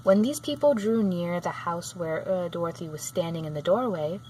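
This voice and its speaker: A woman reading a children's book aloud, sometimes halting and going back over a phrase. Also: lowish voice, dark tone, poor audio. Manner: halting, often rereads phrases. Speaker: storybook reader. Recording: clear background noise.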